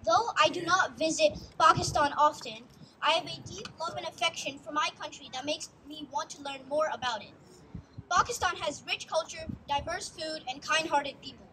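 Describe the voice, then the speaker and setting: High-voiced young speaker, earnest recital. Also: female voice, normal speed, noisy background. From United States. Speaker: youth speaker. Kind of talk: prepared speech read aloud. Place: outdoor stage in a park.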